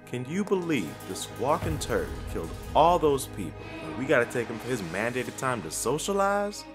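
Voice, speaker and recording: A man's voice: medium pitch, sing-song, moderate pace, music in the background, close to an everyday voice. A man playing a contemptuous, sarcastic asylum guard in a comic dub. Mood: scornful.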